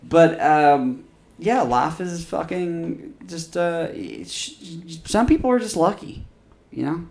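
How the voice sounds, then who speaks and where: A man's voice: on the high side, expressive, slow pace, gravelly, darkish tone, roomy sound. A man in his thirties co-hosting a podcast, rambling and swearing casually, laughing often. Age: 30s. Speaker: podcast co-host. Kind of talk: crude casual chat.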